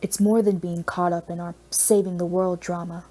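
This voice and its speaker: A woman's voice: fairly low pitch, level tone, moderate pace, mellow, natural voice. A woman voicing a blunt, serious male superhero delivering cautionary lines in an audiobook.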